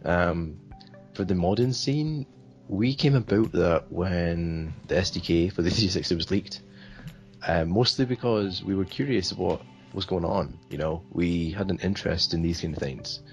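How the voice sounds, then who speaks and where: A man's voice: sits low, a little slow, soft background music. A man from Sweden answering interview questions in a hesitant, rambling way, full of fillers. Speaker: interview guest.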